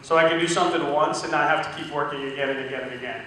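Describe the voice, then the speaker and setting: A man speaking to a crowd at a business event, his voice bright and forward, a little high for a man. He tells his story casually, acting out bits of conversation. The sound is distant and booming, caught in a big echoey room. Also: steady tone, very fast. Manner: casual, storytelling with quoted dialogue. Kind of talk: motivational testimonial speech. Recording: echoey room from far off, faint noise.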